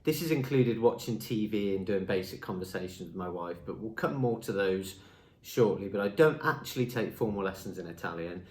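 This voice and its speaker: A man's voice: fairly low pitch, animated, brisk, a little mellow, reverberant. A young man and native English speaker, chatting in an upbeat way as he presents a talking-head vlog. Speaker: language school marketing presenter. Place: indoor room with a screen behind him.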